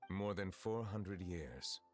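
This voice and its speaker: Really deep solemn ancient judge, video game style, formal and calm, bit of music behind. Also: male voice. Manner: formal, measured, calmly authoritative. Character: grave, composed, centuries-old male chief justice.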